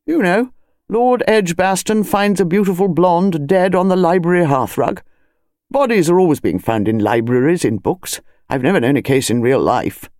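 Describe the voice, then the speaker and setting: A woman's voice: fairly low pitch, normal speed, warm tone, no room sound, studio quality, lightly acted. A woman voicing a drowsy, middle-aged English colonel in an audiobook, kindly dismissive of his wife. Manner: kindly patronising, muttering.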